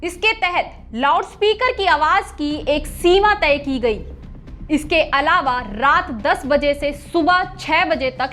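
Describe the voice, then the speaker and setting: A woman's voice: sits high, bright, forceful tone. A woman news anchor reading a scripted news report.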